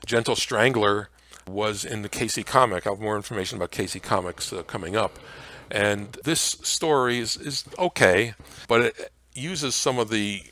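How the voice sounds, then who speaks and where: A man presenting an informal slide lecture in a halting, conversational way. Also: fairly low pitch, a bit bright, dry recording.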